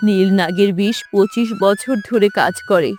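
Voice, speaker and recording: A woman's voice: mid-range voice, measured pace, darkish tone, soft background music, close to an everyday voice. A woman voicing a sorrowful, protective mother serpent queen in a fairy-tale cartoon, explaining things solemnly. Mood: solemn, confessing.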